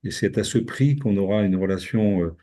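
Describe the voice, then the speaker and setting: A man's voice: lowish voice, normal speed, mellow. An older man from France speaking as a mayor, giving his opinions in an earnest, explanatory way as if answering an interview.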